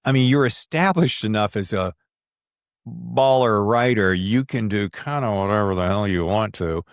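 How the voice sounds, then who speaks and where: A man's voice: fairly low pitch, moderate pace, clean tone, no room sound, clean studio sound. A man hosting a casual, chatty interview, rambling and restarting himself, with jokey, salty, informal slang. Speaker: podcast host, self-published writer.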